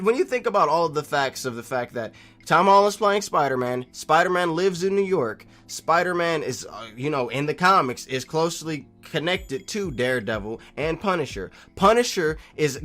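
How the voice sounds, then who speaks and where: A man hosting a casual, opinionated video reply, speaking emphatically and repeating phrases for stress, moving between loud insistence and quieter asides. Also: on the high side. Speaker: video host replying to a viewer comment. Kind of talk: casual opinionated commentary to camera.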